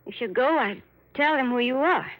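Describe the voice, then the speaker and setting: A woman playing a mysterious, seductive and menacing femme fatale in 1940s radio thriller style, with a cool, deliberate, cryptic delivery. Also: high-pitched, animated, a bit bright, no echo. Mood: menacing, insistent. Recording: dull old radio recording.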